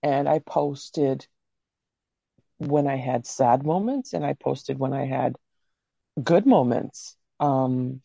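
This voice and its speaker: A woman with a low, warm, mellow voice chats as a podcast guest, rambling candidly and dropping the odd curse. The recording is dry and a bit dull and lo-fi. She grew up in Canada. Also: slow, vocal fry, no room sound. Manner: candid, rambling storyteller with casual swearing. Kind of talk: casual interview chat.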